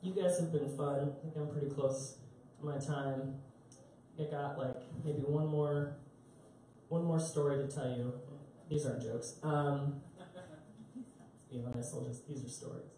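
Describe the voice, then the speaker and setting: A man's voice: on the high side, fairly even intonation, measured pace, pauses often, dark tone, slight background noise. A young man doing live stand-up, telling rambling, self-mocking stories and laughing at himself on a small club stage. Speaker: stand-up comedian. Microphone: handheld mic, heard through a far camera. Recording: roomy echo, distant live capture.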